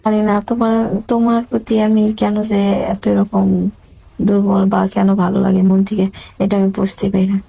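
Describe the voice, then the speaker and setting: A woman's voice: medium pitch, monotone, a little fast, vocal fry, dark tone, breathy edge, low-quality recording. A woman in a casual phone conversation, answering in a reassuring, brief way.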